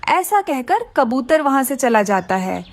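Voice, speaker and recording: A woman's voice: high voice, big pitch swings, measured pace, no echo, clean studio sound. A woman narrating a scripted children's story.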